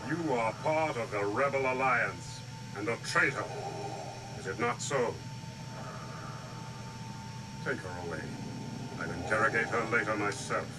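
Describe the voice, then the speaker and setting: An actor playing a cold, commanding villain in a radio drama, imperious and threatening. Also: male voice, lowish voice, animated, normal speed, lightly acted. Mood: commanding, menacing. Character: menacing, cold, commanding dark lord villain. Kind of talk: 1980s radio drama acting. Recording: clear background hum and mechanical ambience.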